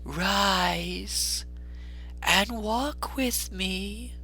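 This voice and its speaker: Soft, very slow, high-pitched ghost. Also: faint music behind, heavily acted. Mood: solemn, gentle. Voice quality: soft, airy, hushed. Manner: slow, drawn-out, stately delivery. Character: gentle, solemn ghost of Christmas past, ageless spirit. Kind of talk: dramatic storybook reading.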